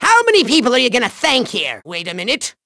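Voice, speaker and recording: An actor playing a pompous, tantrum-prone little cartoon villain in a video game, with a shrill, gravelly, theatrical delivery. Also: male voice, huge pitch swings, fast talker, sharp tone, full character voice. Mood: incredulous scorn. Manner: theatrical, grandiose, sneering, cackling.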